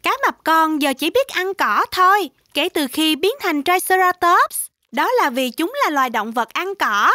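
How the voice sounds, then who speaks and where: A woman's voice: high-pitched, lively intonation, measured pace, few pauses, sharp tone, no room sound. A woman narrating a children's cartoon, exclaiming and putting questions to young viewers. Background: children's music.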